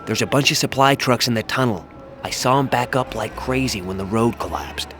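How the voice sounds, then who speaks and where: A man's voice: mid-range voice, fairly quick, fairly bright tone, faint music behind, close to an everyday voice. A man playing an anxious soldier sidekick in a video game, plain-spoken and uneasy. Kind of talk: video game cutscene acting.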